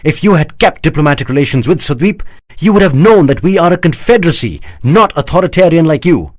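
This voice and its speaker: A male audiobook narrator voices a heated young prince defending his people. The voice is dry and close with animated pitch. He argues with rising indignation. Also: quick pace, no echo. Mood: indignant. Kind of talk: audiobook character voice.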